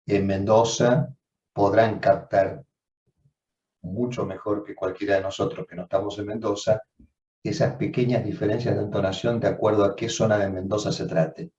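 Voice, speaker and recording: A man's voice: lowish voice, warm tone. Man lecturing in a distant, echoey room. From Argentina. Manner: measured, reflective, with frequent rephrasing. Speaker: psychoanalyst lecturer. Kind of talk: seminar lecture. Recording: dull, roomy sound from far off.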